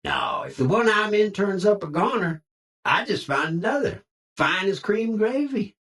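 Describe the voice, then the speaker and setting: An actor voicing a cheerful cowboy robot greeter in a video game, with a folksy American cowboy drawl and hearty Old West slang. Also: male voice, high voice, sing-song, normal speed, fairly bright tone, distant mic. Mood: chummy, boastful. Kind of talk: video game character lines.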